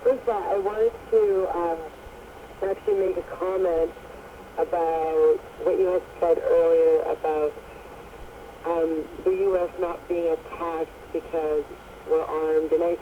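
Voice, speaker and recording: A man with a fairly high voice phones in to a radio show, speaking politely and casually with some hesitation. His line is dull and comes through clear static and noise. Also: flat delivery, measured pace. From born in Baghdad, raised in Canada. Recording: dull noisy phone call over shortwave, on cassette.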